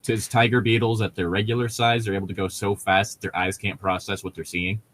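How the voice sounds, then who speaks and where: A man's voice: on the low side, little pitch movement, a little fast, fairly bright tone. A man chatting casually as a podcast co-host, explaining things in a nerdy way and often restarting his sentences. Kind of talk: casual chat.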